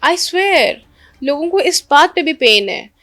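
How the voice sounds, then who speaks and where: A woman's voice: high voice, lively intonation, slow pace, fairly bright tone, no echo, faint music behind. A woman in her late twenties with a Pakistani accent, speaking emphatically and candidly as a podcast interview guest. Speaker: interview guest, dhol player.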